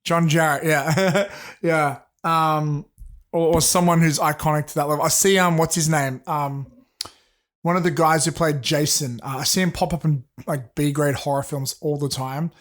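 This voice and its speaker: Man, podcast co-host, sore throat, rambling, full of ums, sits a bit higher in pitch. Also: fairly even intonation, moderate pace, a bit bright. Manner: rambling, hesitant, swears. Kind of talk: casual chat.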